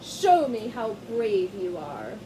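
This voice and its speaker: A woman playing a slow, lordly king lion. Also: medium pitch, very animated, background noise. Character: proud lazy male king lion.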